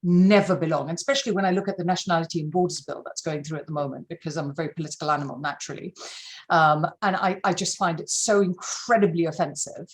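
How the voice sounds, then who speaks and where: A woman's voice: sits low, quick pace. A middle-aged woman from Malawi, an interview guest speaking candidly and emphatically, often repeating words for stress.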